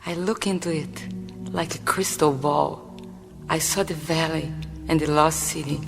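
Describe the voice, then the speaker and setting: A woman's voice: sits low, fairly even intonation, unhurried, vocal fry, in a large hall, over music. An actress with a Brazilian Portuguese accent playing a mysterious woman who sternly questions a reluctant shaman in a radio play. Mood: wistful. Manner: stern, searching, probing questions.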